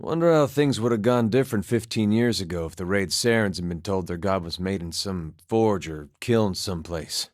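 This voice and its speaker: An actor playing a wry, easygoing farmer and war veteran with a folksy, understated way of talking, in a video game. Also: male voice, low-pitched, moderate pace, few pauses, darkish tone, no room sound, studio quality, lightly acted. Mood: bitter, musing. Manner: folksy, understated, dryly humorous, drops words. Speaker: video game companion character. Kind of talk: video game voice acting, conversational dialogue.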